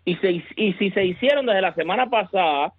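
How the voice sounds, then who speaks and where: A man talking baseball on a sports radio show, emphatic and building excitement as he argues his point. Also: high voice, moderate pace, husky, no echo. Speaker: baseball analyst. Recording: tinny phone line.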